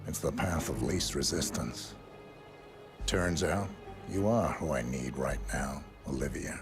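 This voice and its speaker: A man's voice: rumbling low, measured pace, music in the background. A man playing a cool, terse, controlled professional assassin in a video game. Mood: cool, determined. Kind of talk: video game cinematic acting.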